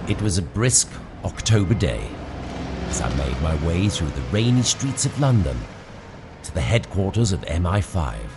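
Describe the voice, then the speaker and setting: A man's voice: low voice, sing-song, normal speed, background noise. A man playing a bumbling, deferential British secret agent in a comic spy radio play, in an earnest, mock-serious way. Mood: mock-serious narrating. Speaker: narrator and lead actor.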